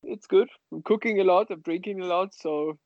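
An Austrian-accented man, a native German speaker, chatting casually as an interview guest in a halting way over a dull remote connection. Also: sits high, darkish tone, no echo. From western Austria. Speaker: guest, sommelier and wine bar co-owner.

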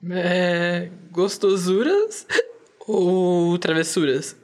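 A woman's voice: fairly low pitch, very slow, darkish tone. A performer with a woman's voice playing a timid but eager young boy in a children's radio drama. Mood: hesitant.

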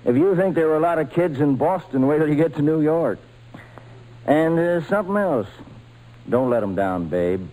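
An actor playing a steady, fatherly baseball manager in an old-time radio drama, speaking in an avuncular, persuasive way. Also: fairly high pitch, normal speed, close to an everyday voice, rough recording. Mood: regretful, encouraging.

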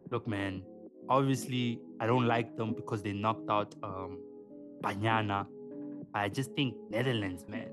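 A man, co-hosting a podcast in casual, slangy, animated chat. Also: lowish voice, unhurried. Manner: animated, slangy, often says "man" and "dog". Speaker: podcast co-host. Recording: music playing underneath.

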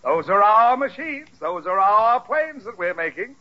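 An actor playing a hearty, wily, condescending company boss in an old radio sitcom, pompous, theatrical and jovially persuasive. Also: male voice, high voice, very animated, a little slow. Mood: genial, condescending. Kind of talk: 1940s radio sitcom acting. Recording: muffled vintage radio sitcom recording.

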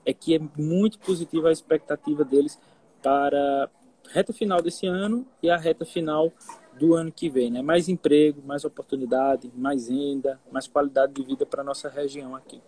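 A man's voice: a little high, fairly even intonation, normal speed, warm tone, slightly rough, rough recording. A man speaking as an economist guest in a live interview, explaining at length and checking in with "né?".

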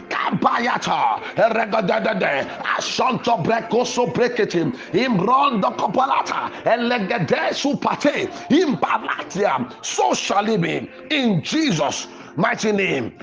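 High-pitched, fervent male preacher. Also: vocal fry. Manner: forceful, repetitive, chanting declarations. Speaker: preacher leading a prayer podcast. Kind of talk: fervent Pentecostal prayer and exhortation.

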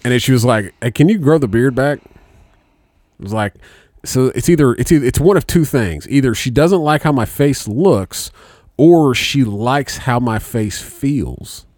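A man's voice: mid-range voice, expressive, measured pace, a little mellow, no room sound, clean studio sound. A man in his thirties hosting a podcast, swearing freely, laughing often and stammering through restarts. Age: 30s. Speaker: podcast host. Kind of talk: crude casual banter.

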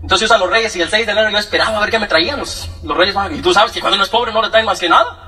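Young Mexican-accented man, high bright voice, comic storyteller at a talk, heard from a distance. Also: very fast, faint music behind. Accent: Mexican Spanish. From Michoacán, Mexico. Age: young adult. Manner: joking, animated, acts out quoted voices. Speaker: speaker giving a testimony.

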